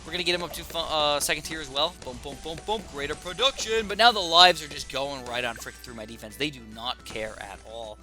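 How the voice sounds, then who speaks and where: Young male gaming YouTuber, slightly raspy, bright and excitable, casual commentary. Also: on the high side, a little fast, hardly any pauses, soft background music. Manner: chatty and excitable, thinking aloud. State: slightly raspy from a sore throat.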